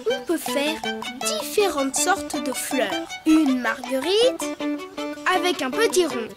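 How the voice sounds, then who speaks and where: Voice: sits high, lively intonation, slow pace. An actor voicing a cheerful young child character in a preschool cartoon, explaining drawing simply and encouragingly to children. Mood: calm, instructive. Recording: music playing behind the voice.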